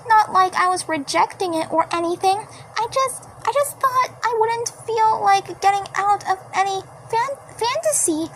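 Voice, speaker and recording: A woman's voice: extremely high pitch, fairly bright tone, pitched above the performer's own voice, low-quality recording. A woman voicing a small, sweet, timid cartoon girl who stammers and pleads, in a fan-dub style. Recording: faint background noise.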